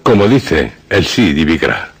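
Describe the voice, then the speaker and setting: A man giving ominous scripted horror narration with dramatic pauses. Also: rumbling low, very animated, husky, soft background music. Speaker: programme narrator.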